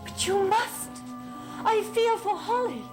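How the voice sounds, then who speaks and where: A woman's voice: very high-pitched, takes it slowly, over music. An actress playing a fearful, accusing mother in a gothic soap opera, fretful and melodramatic. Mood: fearful, pleading.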